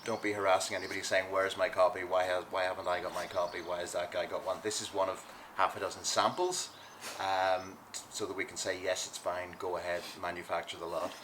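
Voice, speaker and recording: A man hosting a casual chat in a back garden, joking and filling pauses with ums and uhs. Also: sits low, little pitch movement. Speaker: host, music writer. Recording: noisy outdoor recording.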